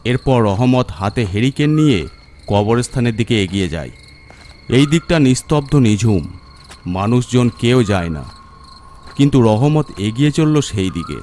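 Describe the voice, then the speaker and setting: A man narrating a scripted horror story in a suspenseful, deliberate manner. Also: mid-range voice, animated, warm tone, a little hiss or hum.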